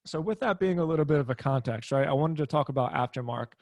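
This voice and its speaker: A man's voice: fairly high pitch, fast talker, dry recording, studio quality. Friendly male host from Philly, casual and laughing easily, remote interview over a video call. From Philadelphia area. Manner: relaxed and friendly, laughs often. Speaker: podcast host.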